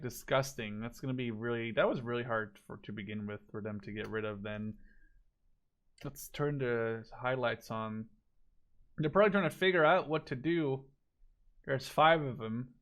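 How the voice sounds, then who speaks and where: A man's voice: mid-range voice, steady tone, moderate pace, a bit bright. A man giving casual, unscripted spectator commentary on a video game, thinking aloud in a rambling, speculative way.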